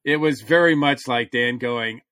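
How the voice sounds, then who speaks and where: A man's voice: mid-range voice, a little slow, a bit bright, dry recording, studio quality. A man co-hosting a podcast, chatting casually like an enthusiastic fan. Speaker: podcast co-host reviewing comics. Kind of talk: casual chat.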